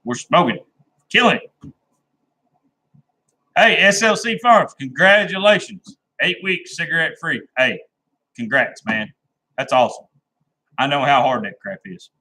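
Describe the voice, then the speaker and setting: A man's voice: fairly high pitch, lively intonation, measured pace, long pauses, sharp tone, rough recording. A man chatting casually with viewers on his live stream, rambling and laid-back, with a folksy, plain-spoken way of talking. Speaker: livestream host chatting with viewers.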